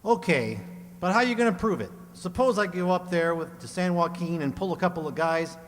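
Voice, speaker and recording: Man playing a folksy Western police chief, animated. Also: high voice, fairly quick, big-room sound. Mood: skeptical. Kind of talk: old-time radio drama acting.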